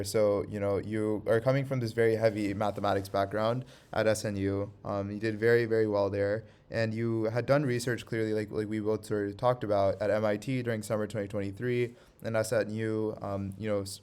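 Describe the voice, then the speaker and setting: A man's voice: fairly low pitch, steady tone, fairly quick, hardly any pauses, darkish tone, clean tone. A man hosting a podcast, asking questions in a casual interview style and often murmuring along while the guest talks. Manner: frequent backchannel listening sounds. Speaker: podcast host.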